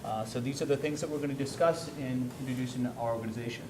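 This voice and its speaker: A loud-voiced middle-aged man giving a business presentation at a meeting, with frequent fillers and "okay?" check-ins to the room. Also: medium pitch, steady tone, fast, heavy background noise. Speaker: wellness program presenter. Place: school district meeting room.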